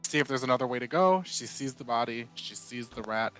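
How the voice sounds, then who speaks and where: A man's voice: medium pitch, expressive, a little fast, a bit bright, soft background music, poor audio. A man playing a tabletop roleplaying game, narrating his character casually with a wry, exasperated manner.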